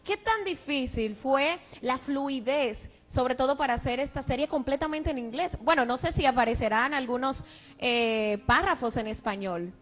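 A woman's voice: sits high, moderate pace. A young woman co-hosting a TV interview, asking polite, formal questions into a handheld mic. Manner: polite, formal questions with long lead-ins. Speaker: co-host. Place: TV studio.

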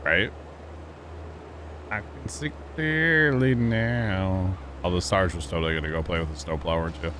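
A relaxed man with a low voice hosts a gaming livestream from his home setup. He chats loosely and laughs often, with a faint hiss under the sound. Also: moderate pace. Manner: laid-back, chatty, laughs often. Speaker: livestream host. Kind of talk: casual gaming stream chat.

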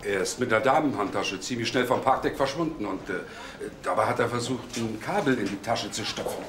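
A male eyewitness played by an actor gives a plain account in a crime drama. His voice echoes, with clear noise around it. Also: mid-range voice, a little fast, close to an everyday voice. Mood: matter-of-fact. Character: male eyewitness reporting to police, sure.

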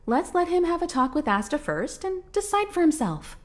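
A woman playing a poised, patient and kindly female mentor in a video game, composed and gently reasoning. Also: very high-pitched, big pitch swings, moderate pace. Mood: calm, reassuring. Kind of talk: video game character dialogue.

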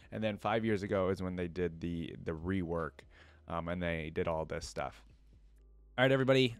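A man's voice: lowish voice, dry recording. A young adult man giving casual reaction commentary as the host of a video. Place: indoor desk setup. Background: game theme music.

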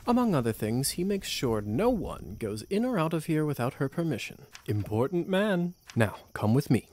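An actor playing a suave, teasing aristocratic vampire officer in a video game, smooth and condescending. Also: male voice, a little high, big pitch swings, normal speed, darkish tone. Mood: playful, instructive. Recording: game music under the voice.

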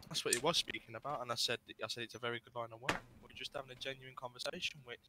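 Man playing a fast-talking, prickly lawyer. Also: mid-range voice, lightly acted. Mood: formal, insistent. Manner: formal, argumentative, addresses people by title. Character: male lawyer, prickly, formal, defensive. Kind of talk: improvised roleplay in an online game. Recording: noisy online game voice chat.

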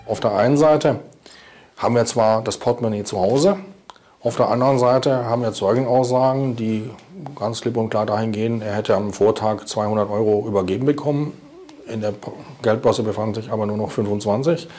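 Older middle aged guy giving an official interview, dark mellow voice, kind of formal. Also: on the low side, fairly even intonation, brisk. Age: 50s. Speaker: state prosecutor interviewed. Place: indoor office.

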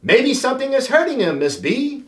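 A man's voice: very high voice, big pitch swings, normal speed, reverberant, pitched above the performer's own voice. A man voicing concerned young schoolchildren in a storybook, earnest and questioning. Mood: worried.

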